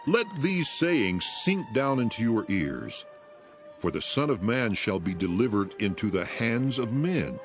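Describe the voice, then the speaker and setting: An actor playing an earnest, authoritative male teacher in a dramatized scripture reading, solemn and emphatic in his measured declarations. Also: fairly low pitch, very animated. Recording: music behind the voice.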